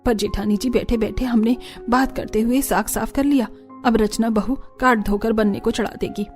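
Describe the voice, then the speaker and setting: Woman voicing a mellow, fretful cartoon mother. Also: fairly high pitch, fairly even intonation, normal speed, faint music behind, natural voice. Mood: chatty, concerned. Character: middle-aged Indian mother and mother-in-law, homely, caring.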